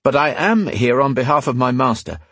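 Man as earnest male hero, courteous, restrained, slightly firm, raised pitch, fantasy audiobook. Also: animated, a little fast, a bit bright, slightly rough, dry recording. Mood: uneasy, polite. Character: polite, earnest young fighting man, uneasy but firm.

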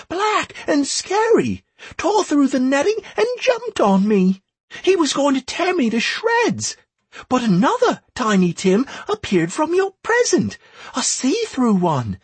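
A frightened young lad, squeaking very high and agitated. The childlike voice swoops about excitedly, as in a dramatized storybook. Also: male voice, slow pace, dry recording, heavily acted. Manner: breathless, agitated childlike exclaiming. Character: excited, frightened young boy worried about his dog.

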